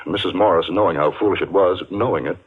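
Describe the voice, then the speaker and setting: An actor narrating a 1950s radio suspense drama as a solemn storyteller, in a measured, ominous manner with dramatic pauses. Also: male voice, deep voice, background noise, natural voice. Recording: muffled vintage radio broadcast. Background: music.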